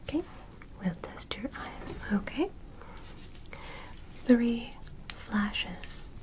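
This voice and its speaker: A young woman voicing a gentle, formal alien medical examiner in an ASMR roleplay, in soft breathy whispers with measured, reassuring phrases. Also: a little high, lively intonation. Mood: soothing, clinical. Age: young adult. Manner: slow, deliberate, short measured phrases, reassuring. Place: small bedroom dressed as spaceship, indoors. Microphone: close microphone in front.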